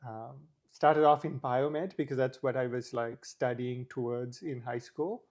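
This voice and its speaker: A man's voice: medium pitch, steady tone, unhurried, a little mellow. A young man from Sri Lanka, a guest talking casually in an interview, with many fillers.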